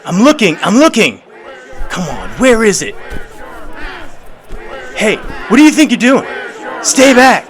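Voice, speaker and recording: A man playing a frantic, eager delivery driver who shouts and gives himself panicky pep talks in a comic audio drama. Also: high voice, very animated, measured pace, pauses often, a faint crowd, voice pushed up, heavily acted. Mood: panicked.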